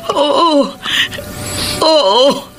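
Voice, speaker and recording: A devoted, anxious wife and elder sister in a Filipino radio drama. Her voice runs fairly high and very expressive, with faint music underneath. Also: very slow, full character voice. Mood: anguished. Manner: emotional, expressive.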